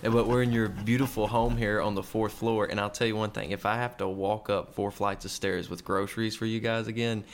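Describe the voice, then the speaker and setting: Gravelly low-voiced podcast host. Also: male voice, fairly even intonation, a little fast, hardly any pauses. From Memphis, USA. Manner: joking, chatty. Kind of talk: casual podcast chat.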